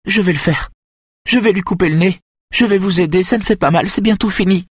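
A frightened, timid woman pleads tearfully in an audiobook, performed by a man. The voice sits high above a natural male register and is very husky and breathy, with an imploring manner. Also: fairly quick, no room sound, clean studio sound. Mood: sobbing, pleading. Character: terrified, timid, pleading woman forced into cruelty. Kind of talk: audiobook character acting.

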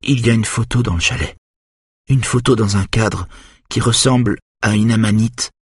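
A man's voice: fairly low pitch, normal speed, husky, dry recording, studio quality, pitched above the performer's own voice. A man voicing a panicked boy under hypnosis. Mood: dazed. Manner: frantic, breathless, rising panic.

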